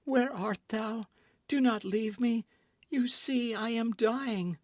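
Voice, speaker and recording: A man's voice: high-pitched, a little slow, darkish tone, no room sound, clean studio sound, pitched above the performer's own voice. A man voicing a frail young woman. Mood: faint, dying. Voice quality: faint, fading.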